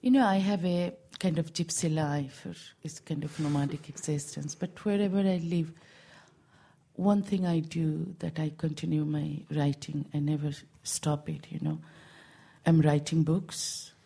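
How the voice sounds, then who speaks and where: Slow, husky woman. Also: sits low, steady tone, warm tone. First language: Bengali. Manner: slow, halting, with many fillers. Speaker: guest. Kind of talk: interview answers in English.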